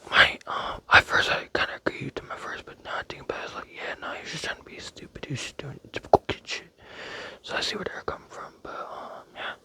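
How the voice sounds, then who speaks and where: A man's voice: fast, pushed and bright. A young man rambling playfully in a close-miked ASMR whisper, with many fillers. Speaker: ASMR creator.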